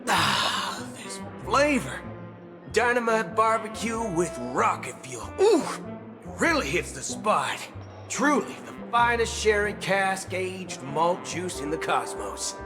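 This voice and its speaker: A man's voice: high-pitched, sing-song, slow, full character voice. A man playing a shrewd, laid-back cosmic traveller in video game dialogue, talking in a casual, folksy, musing way. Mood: savoring, delighted. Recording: music under the voice.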